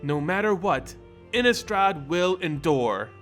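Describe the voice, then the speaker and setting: A man's voice: sits high, lively intonation, takes it slowly, fairly bright tone, heavily acted. A steadfast warrior heroine from a fantasy tale, read by a male narrator, wry and resolute, with few words. Faint music plays underneath. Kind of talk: dramatic fantasy story narration dialogue.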